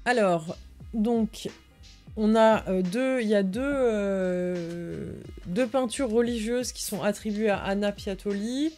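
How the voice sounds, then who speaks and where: A woman's voice: mid-range voice, slow, warm tone. A woman co-hosting a livestream, translating and commenting on an article as she reads it. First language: French. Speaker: livestream co-host. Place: indoor room, livestream. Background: music.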